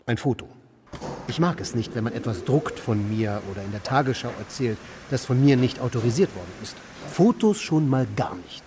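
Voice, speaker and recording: An actor playing an aimless, brooding eccentric in a German radio play, musing and questioning in a deadpan manner. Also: male voice, medium pitch, normal speed, noisy background, lightly acted. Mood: self-important. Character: aimless, brooding man, eccentric idler and narrator. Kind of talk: German radio play, dialogue and inner monologue.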